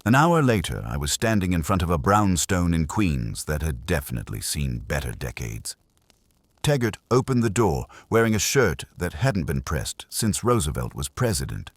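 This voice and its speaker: Very deep male voice, lively pitch, wry hard-boiled detective narration, dry close sound. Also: moderate pace, dry recording. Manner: wry, dry-witted storytelling.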